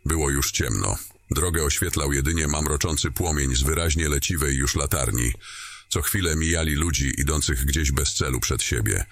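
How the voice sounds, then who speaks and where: A man narrating a scripted fantasy story in a descriptive, deliberate storytelling manner. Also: a bass voice, no echo, clean studio sound.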